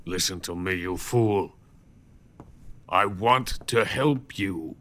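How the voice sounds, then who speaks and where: An actor playing a weary, proud older Soviet scientist, speaking in a deliberate, grandiose way in a dramatic video game. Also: male voice, deep voice, a bit bright, slight background noise. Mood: urgent, instructive.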